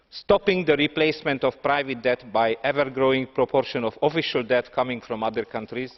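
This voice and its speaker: A man reads a prepared speech in a parliamentary chamber, bluntly listing his points. The broadcast audio is dull, and a long hall reverb trails his voice. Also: medium pitch. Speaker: member of parliament.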